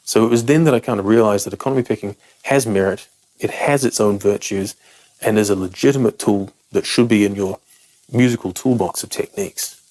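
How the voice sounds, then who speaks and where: A man with a lowish voice and a British accent talks straight to camera as a guitar teacher, breaking down picking technique. He is frank and emphatic and pokes fun at himself as he goes. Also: darkish tone, poor audio. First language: English. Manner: frank, self-deprecating and emphatic.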